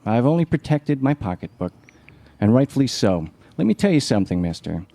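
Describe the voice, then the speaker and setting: An actor playing a blunt, skeptical, penny-pinching husband in a live radio play, with wry, slangy talk. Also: male voice, mid-range voice, big pitch swings, fairly quick, vocal fry, mellow, no echo. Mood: defensive, blunt.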